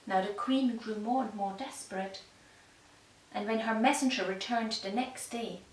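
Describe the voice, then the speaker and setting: A woman narrating a fairy tale for small children in a gentle, deliberate way, recorded in an echoey room. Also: a little high, a little hiss or hum. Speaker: puppet-show storyteller. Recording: echoey room, distant microphone.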